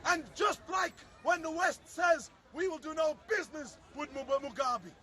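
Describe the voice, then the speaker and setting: Very high-pitched man making an impassioned speech. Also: unhurried, bright, forceful tone. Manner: declamatory, emphatic, repetitive phrasing. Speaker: public soapbox speaker. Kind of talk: impassioned political street oratory. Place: outdoors at a public speakers' corner. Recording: outdoor recording with faint crowd. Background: faint crowd, urban outdoor sound.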